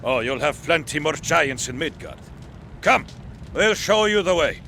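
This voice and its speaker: A man voicing an excitable, cheeky dwarf miner in a fantasy video game, with a gravelly, bright voice. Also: fairly high pitch, a little slow, slight background noise, full character voice. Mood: nervous, cheeky.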